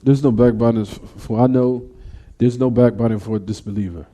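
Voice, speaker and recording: Very mellow, dark-voiced American male teacher. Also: mid-range voice, steady tone, fairly quick. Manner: emphatic, repeats and rephrases phrases. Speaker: religious teacher. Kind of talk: Islamic lesson, reading and explaining a text, then taking questions.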